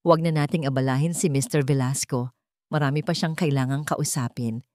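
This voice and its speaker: A woman's voice: rumbling low, fairly even intonation, brisk, darkish tone, dry recording, clean studio sound. A woman playing a cold, domineering rich fiancé. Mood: icy restraint. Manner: cold, controlling, commanding. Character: cruel, domineering wealthy fiancé, a menacing man. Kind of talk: dramatic audio storybook dialogue.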